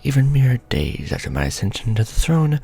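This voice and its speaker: Man acting out a gravelly, theatrical vampire lord. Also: mid-range voice, very animated, a little fast, dark tone. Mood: scheming, intense. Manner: pompous, theatrical, courtly. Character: ancient, pompous, scheming vampire lord, newly enthroned. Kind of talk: ASMR roleplay, dramatic monologue to the listener.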